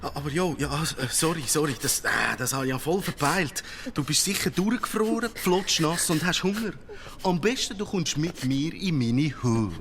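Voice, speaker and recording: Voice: mid-range voice, expressive, normal speed, fairly bright tone, slight background noise. An actor playing a brash, streetwise big-city cockroach in a children's radio play, with Swiss German speech and hip-hop slang mixed with English. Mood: apologetic, kindly.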